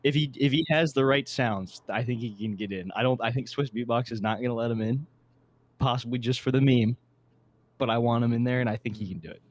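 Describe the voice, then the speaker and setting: A man's voice: medium pitch, fairly quick. A man casually explaining his predictions at length as a podcast panelist, with plenty of opinions. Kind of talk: casual discussion. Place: online call, talking at a computer.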